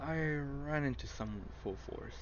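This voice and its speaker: A man's voice: mid-range voice, moderate pace. A man reading a visual novel aloud as an amateur gamer, with casual commentary along the way. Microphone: poor microphone. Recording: muffled lo-fi mic with loud noise.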